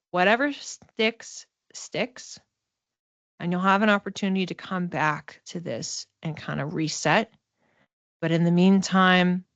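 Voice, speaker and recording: A woman's voice: fairly low pitch, dry recording. Woman giving a spiritual reading, slightly dull sound. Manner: reassuring, conversational. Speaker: psychic oracle card reader and mentor. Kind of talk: informal spiritual reading addressed to one student.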